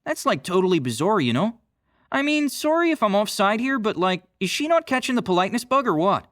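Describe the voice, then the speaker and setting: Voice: mid-range voice, very animated, fairly quick, fairly bright tone, dry recording, studio quality. An actor playing an over-polite cartoon Canadian who speaks hesitantly with a Canadian accent and keeps apologizing. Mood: eager, apologetic. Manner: hesitant, constantly apologizing, tags sentences with eh. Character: over-polite, apologetic Canadian teen stereotype under a spell. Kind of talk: scripted character dialogue.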